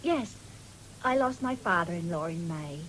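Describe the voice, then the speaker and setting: A woman's voice: fairly low pitch, animated, a little slow, a bit bright. An actress playing a proud, firm, plain-spoken English farm wife and mother in a radio drama. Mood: polite, businesslike. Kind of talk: 1970s British radio drama acting. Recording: noisy old radio broadcast recording.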